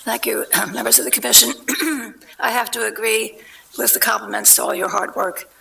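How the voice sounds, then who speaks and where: A woman with a very gravelly, high, bright voice reads a prepared statement while losing her voice. She is polite and slightly halting, with faint background noise. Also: steady tone, poor audio. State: losing her voice, clearing her throat. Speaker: resident giving public comment. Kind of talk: reading a submitted statement aloud.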